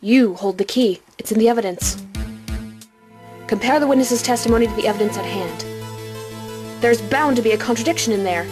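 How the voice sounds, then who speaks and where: A woman's voice: on the high side, brisk, long pauses, over music, natural voice. A woman playing a confident mentor lawyer coaching a rookie in an anime-style game fan dub. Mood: encouraging, firm.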